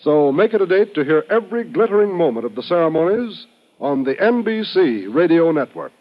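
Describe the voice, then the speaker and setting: A man's voice: on the high side, very animated, fairly quick. A man with an American accent announcing in the showy, scripted style of 1950s network radio. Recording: muffled old radio broadcast recording. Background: music.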